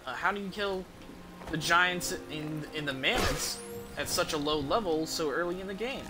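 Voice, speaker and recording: A man's voice: a little high, normal speed, over music. A man giving casual, rambling gameplay commentary as a game streamer, with some swearing.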